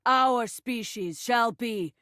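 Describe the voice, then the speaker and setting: Proud alien warrior woman, theatrical game melodrama, highish voice, unhurried, dry sound. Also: pushed and bright, clear, resonant tone, no room sound. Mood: solemn, grand. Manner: grand, theatrical, declamatory. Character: proud, haughty female alien warrior in pulpy space play. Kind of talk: melodramatic sci-fi game voice acting.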